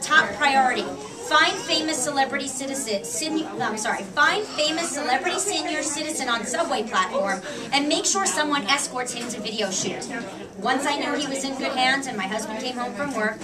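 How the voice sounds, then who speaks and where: High-voiced American woman reading to a noisy crowd. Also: moderate pace. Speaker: author reading at a book event. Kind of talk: animated reading of a personal humorous essay to a live audience. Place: public book reading in a shop. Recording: distant mic in a noisy crowded room.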